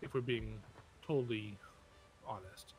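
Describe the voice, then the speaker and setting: A man co-playing a game, explaining things casually with hesitant pauses and fillers. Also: fairly low pitch, a little mellow, rough recording. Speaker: co-player. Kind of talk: casual gaming chat.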